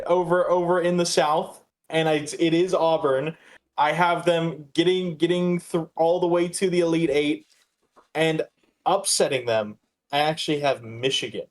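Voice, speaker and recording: Higher-voiced man talking sports casually. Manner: halting, repeats words. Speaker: podcast co-host.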